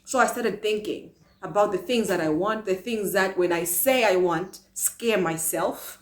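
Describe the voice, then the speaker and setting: A woman from Malawi giving a passionate motivational talk to camera, asking many rhetorical questions. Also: medium pitch. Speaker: vlogger. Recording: echoey room.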